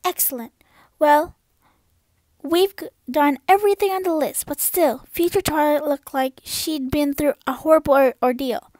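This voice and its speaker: A woman's voice: sits high, normal speed, darkish tone, dry recording, close to an everyday voice. A young woman voicing an earnest, anxious young female cartoon pony in an urgent, emphatic way. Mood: brisk, earnest. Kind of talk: amateur cartoon voice impression.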